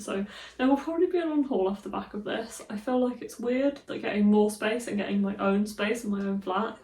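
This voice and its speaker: A woman's voice: medium pitch, mellow, far from the mic. Chatty woman with a slight cold, echoey room. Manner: chatty, rambling, giggly asides. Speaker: book vlogger. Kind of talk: casual vlog chat. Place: room in her flat.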